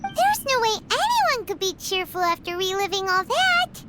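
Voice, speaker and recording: Game fairy sidekick: tiny cheerful girl, extremely high squeaky voice, swooping delivery, music behind. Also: very animated, normal speed. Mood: concerned.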